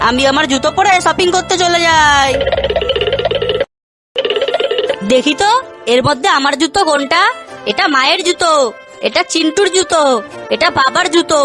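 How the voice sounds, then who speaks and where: A woman's voice: sits high, brisk, over loud music, lightly acted. A woman voicing an earnest, youthful character in a children's cartoon. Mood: cheerful.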